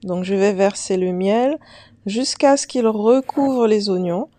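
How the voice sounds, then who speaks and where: A woman's voice: mid-range voice, measured pace, mellow. A woman vlogger casually talking the viewer through a homemade remedy, chatting to them directly like a friend. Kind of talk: casual how-to narration to camera.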